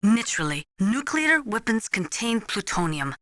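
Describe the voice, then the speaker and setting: Bright-voiced female nuclear analyst. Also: mid-range voice, sing-song, no room sound, studio quality. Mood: measured, informative. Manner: measured, precise, didactic. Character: earnest female nuclear weapons analyst advising a soldier. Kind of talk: scripted expository video game voice acting.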